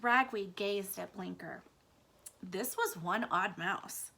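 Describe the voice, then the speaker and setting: A woman with a bright, forward voice that often creaks at the edges. She reads a children's story aloud as a teacher, at a middle pitch. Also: slow pace. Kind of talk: children's book read-aloud.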